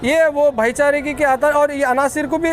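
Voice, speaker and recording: Male activist, Deccani Urdu accent, very high pitch, insistent and accusing press statement, slight outdoor traffic noise. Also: fairly even intonation, brisk, a faint crowd. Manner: emphatic, accusatory, repetitive. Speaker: political activist.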